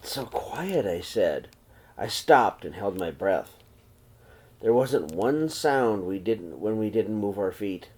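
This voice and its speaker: A man reading a children's picture book aloud like a teacher, with expressive, dramatized storytelling delivery. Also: fairly low pitch, slow. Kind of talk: read-aloud storybook narration.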